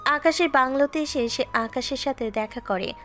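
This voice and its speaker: A woman narrating a Bengali audio drama in a steady, scripted storytelling manner. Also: high voice, normal speed. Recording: faint background music.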